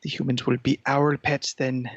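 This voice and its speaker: A man's voice: medium pitch, flat delivery, normal speed, no room sound, natural voice. Man playing a pompous scheming cat, muffled sound. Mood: pompous, menacing. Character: scheming, pompous male cat bent on ruling humans. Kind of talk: improvised comic character interview.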